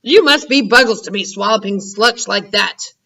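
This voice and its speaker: A woman voicing a huge, greedy male giant who booms and shouts in broken grammar. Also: lively intonation, moderate pace, distant mic. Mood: menacing. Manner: booming, shouting, ungrammatical giant talk.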